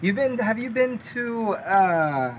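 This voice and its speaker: A man's voice: high voice, expressive, slow. A man in his thirties chatting casually and informally, in a laid-back way. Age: 30s. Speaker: homeowner showing his building project. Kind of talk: casual chat. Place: outdoors, unfinished block building by the sea. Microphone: camera a couple of metres away. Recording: noisy outdoor recording, ambient background noise.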